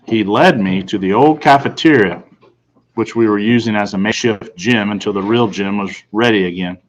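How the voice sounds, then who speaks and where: A man's voice: lowish voice, moderate pace, dull audio, a little hiss or hum. A man reading a first-person storybook narration aloud. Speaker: audiobook reader.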